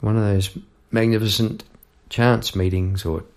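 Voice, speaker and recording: A man's voice: fairly low pitch, flat delivery, a little slow. A middle-aged man hosting a songwriting podcast, musing in a rambling, casual way with many restarts. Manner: rambling and warm-hearted, with frequent restarts and musing asides. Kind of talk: casual reflective podcast talk about songwriting. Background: guitar being played.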